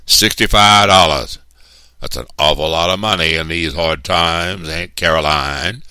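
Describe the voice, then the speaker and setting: A man's voice: low voice, a little slow, sharp tone, clean tone, no echo, pitched above the performer's own voice, full character voice. Man playing a menacing Southern lumber boss. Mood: smugly threatening. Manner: folksy drawl with veiled threats.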